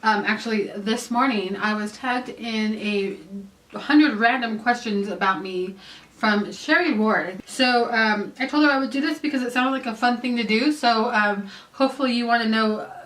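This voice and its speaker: A woman in her late thirties from California talks her way through a vlog of personal questions, rambling easily and dotting her sentences with "um" and "like". Her voice sits a little high. She sounds set back from the mic, in a room with a noticeable echo. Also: moderate pace, a bit bright. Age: 30s. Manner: chatty and rambling, many "um"s and "like"s. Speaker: YouTuber. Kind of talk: casual question-and-answer vlog. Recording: roomy echo, mic at a distance.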